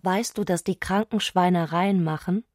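A woman's voice: fairly low pitch, normal speed, a little mellow, no room sound, studio quality, close to an everyday voice. A woman playing a fervent, eccentric woman in a literary radio drama, insistent and declarative. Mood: exalted, insistent. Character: Clarisse, fervent, eccentric woman obsessed with madness.

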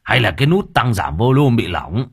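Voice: mid-range voice, very animated, moderate pace, vocal fry, husky, exaggerated voice. A storyteller voicing a hot-tempered, half-drunk husband who curses and blusters. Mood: scornful. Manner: growling, cursing, blustering.

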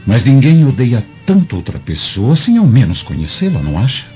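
A Brazilian man playing a worried, cautious Roman patrician husband and father in a radio drama, speaking in a measured, deliberating way. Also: mid-range voice, huge pitch swings, mellow. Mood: concerned. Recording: dull lo-fi audio, faint music.

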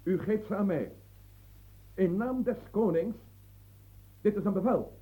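Formal police officer, high voice, very dark tone, radio play. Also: male voice, measured pace, low-quality recording.

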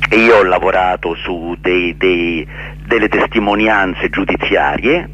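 A man answering radio interview questions earnestly and haltingly, repeating words for emphasis. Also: deep voice, a bit bright, muffled recording, a little hiss or hum. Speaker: interview guest, actor and director. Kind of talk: radio interview answers, explaining his play.

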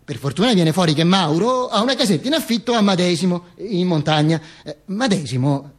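A man's voice: high-pitched, animated, brisk. A man performing a theatrical comic monologue as a dead man telling his own story, mock-serious and emphatic, with repetitions and asides. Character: Mussolini's corpse narrating its own misadventures with ironic wit. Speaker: stage actor and monologist.

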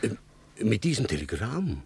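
An actor playing an anxious, evasive Soviet official in a radio play, stammering and repeating himself in a husky voice. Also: male voice, on the low side, sing-song, normal speed, a little mellow, no echo, heavily acted. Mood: anxious, refusing. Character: anxious Soviet spa director, evasive, agonized petty official.

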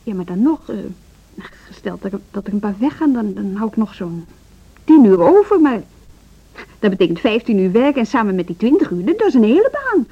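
A woman's voice: mid-range voice, big pitch swings, brisk, breathy edge. A woman acting an independent, assertive music teacher and wife in a Dutch social radio drama. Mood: flustered, hesitant. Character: independent, spirited music teacher, wife standing her ground. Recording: dull, band-limited radio drama recording.

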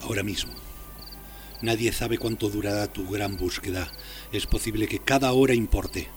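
An actor playing a grave, solemn messenger and sage of an imperial tower in a fantasy radio drama. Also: male voice, lowish voice, steady tone, background noise, close to an everyday voice. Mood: solemn instructing. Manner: solemn, deliberate, portentous. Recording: radio play with music underneath.

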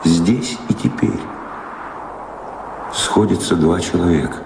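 A world-weary wanderer, speaks with wistful, brooding deliberation in an audio drama. His voice is exceptionally low and gravelly, heard with echo on a noisy recording. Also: takes it slowly, exaggerated voice. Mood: wistful, reflective. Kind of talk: audio play stage acting. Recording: echoey, noisy old radio-play recording.

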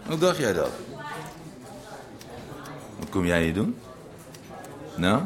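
Low-voiced, mocking, menacing thug. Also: male voice, very animated, takes it slowly, full character voice. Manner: mocking, threatening, falsely polite. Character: menacing Amsterdam underworld thug bullying a squatter. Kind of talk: radio drama acting.

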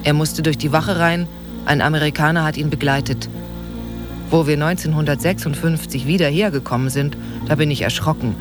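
A man reading a first-person memory aloud in a plain, calm way for a radio piece. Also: on the high side, brisk. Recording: voice under clear music.

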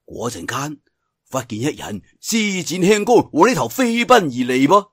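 A male audiobook storyteller with a Cantonese accent and a fairly high voice. He narrates martial arts fiction theatrically and colloquially, with very lively intonation. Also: breathy edge, no room sound, clean studio sound. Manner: theatrical, colloquial telling with drawn-out sentence particles.

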